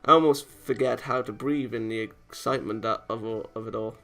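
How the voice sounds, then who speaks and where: A man's voice: lowish voice, a little fast, creaky, pushed and bright, soft background music. A man reading a visual novel aloud in a theatrical storytelling manner, occasionally stumbling over the text. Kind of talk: expressive read-aloud narration of a game.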